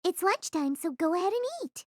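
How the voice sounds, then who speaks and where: High, soft-toned chatty schoolgirl played by a woman. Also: lively intonation, brisk, dry recording, studio quality. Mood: bright, teasing. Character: cheerful, chatty teenage schoolgirl friend. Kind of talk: anime-style audio drama acting.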